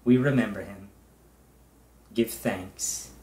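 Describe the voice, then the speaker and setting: A middle-aged man, a pastor, leading a prayer in a measured, reverent way, in short phrases with long pauses. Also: fairly low pitch, warm tone. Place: indoors, small room with bare wall. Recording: echoey room.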